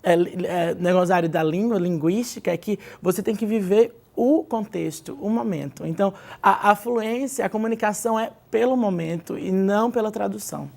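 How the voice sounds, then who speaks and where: A woman's voice: medium pitch, fairly quick, gravelly, few pauses, darkish tone. A Brazilian woman, an English teacher, giving advice as a guest in a TV studio interview and often tagging her points with "né?". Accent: Brazilian Portuguese. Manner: explanatory, lots of "né?" tag questions.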